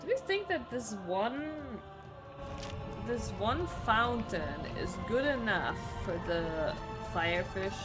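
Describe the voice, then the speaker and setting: A woman chatting casually as she streams a game, informal and reactive, thinking out loud. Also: fairly high pitch, lively intonation, takes it slowly. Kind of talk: casual live gameplay chat. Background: game music.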